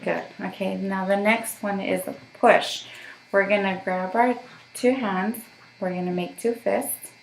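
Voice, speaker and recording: A woman's voice: medium pitch, fairly even intonation, measured pace, gravelly, reverberant, a little hiss or hum, poor audio. A woman working as a voice therapist, giving patient step-by-step instructions for voice exercises and checking in often. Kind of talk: guided voice therapy session.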